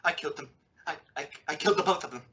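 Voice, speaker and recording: A man's voice: fairly high pitch, rapid-fire, bright, forceful tone. Broken, panicky male murderer, acted by a man, stammering in radio melodrama; tense, piercing tone, off the mic. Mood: broken, confessing. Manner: stammering, repeats himself in panic. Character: frantic, broken male murderer confessing his crimes. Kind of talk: old-time radio melodrama acting. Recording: dull, distant from the mic.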